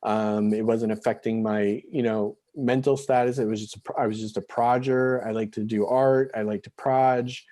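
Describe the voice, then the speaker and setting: A man in his forties telling his own life story as an interview guest, halting, with frequent restarts and fillers. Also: lowish voice, steady tone, warm tone. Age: 40s. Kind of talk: candid personal storytelling.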